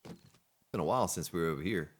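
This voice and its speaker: A man's voice: low voice, fast talker, a little hiss or hum. A man casually commenting on his gameplay as a let's play host, chatting and joking with the characters on screen. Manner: chatty, jokes at game characters.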